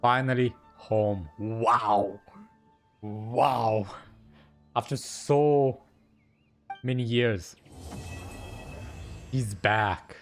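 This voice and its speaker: A man's voice: mid-range voice, extremely slow, long pauses, soft background music. A man giving casual, fragmentary reactions as he streams a video game, in short remarks. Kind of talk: casual gameplay reaction commentary.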